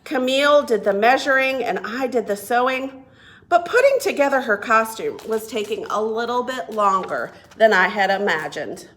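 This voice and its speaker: A woman reading a picture book aloud to young children as a children's librarian, expressive and deliberate. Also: a little high. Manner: expressive, deliberate, asks listeners questions. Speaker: children's librarian and storytime reader.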